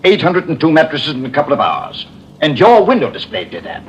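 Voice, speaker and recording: An actor playing a jovial, money-minded department store boss, expansive and emphatic, in 1950s radio drama style. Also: male voice, a little high, huge pitch swings, fairly quick. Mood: jubilant, blustering. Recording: noisy, muffled vintage radio.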